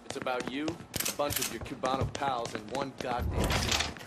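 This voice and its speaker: An actor playing a brisk, sardonic agent sidekick in a crime video game. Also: male voice, mid-range voice, fairly even intonation, over loud music, natural voice. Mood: urgent. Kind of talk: video game drama acting. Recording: loud car and gunfire noise.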